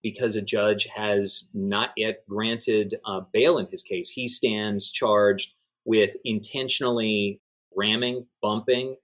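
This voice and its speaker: A man giving a measured, somewhat halting news recap as a podcast commentator. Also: on the low side, fairly even intonation, a bit bright. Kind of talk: news recap in conversation with a host.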